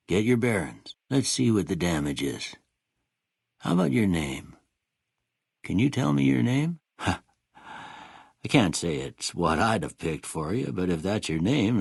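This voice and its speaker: A man playing a kindly, folksy old frontier doctor in a video game, with a husky voice and an unhurried American Western drawl. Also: lowish voice, no echo, studio quality, exaggerated voice. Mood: kindly, reassuring. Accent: American Western or Southern drawl. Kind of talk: scripted game dialogue.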